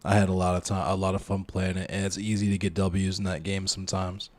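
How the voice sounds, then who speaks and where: A man's voice: low-pitched, completely flat delivery, quick pace. A man streaming a video game, chatting casually and rambling to his viewers and a friend. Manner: laid-back, rambling, talks to chat and a friend. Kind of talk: casual gaming stream chat.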